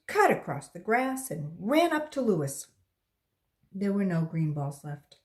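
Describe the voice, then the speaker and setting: Slow, animated woman reading to children. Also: mid-range voice. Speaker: volunteer children's story reader. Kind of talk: expressive read-aloud narration for children.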